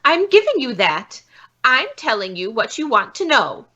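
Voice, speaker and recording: A woman's voice: a little high, sing-song, normal speed, bright, forceful tone, lo-fi sound, exaggerated voice. A woman playing a bitter, indignant forger, a failed actress who poses as an old lady, in a radio police drama. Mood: indignant, defiant.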